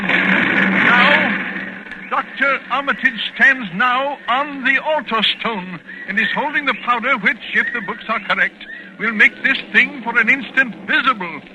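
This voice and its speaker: An actor playing an earnest, frightened scholar giving a formal eyewitness report in 1940s radio style. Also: male voice, very high voice. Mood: awed dread. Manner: formal, tense. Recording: noisy vintage 1940s radio recording.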